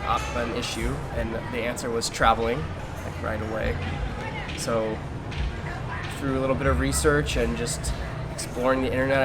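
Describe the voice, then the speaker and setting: A young man answering interview questions in an easygoing, casual way, laughing now and then. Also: medium pitch. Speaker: interviewee, new English teacher. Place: outdoors in a city. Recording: background music throughout.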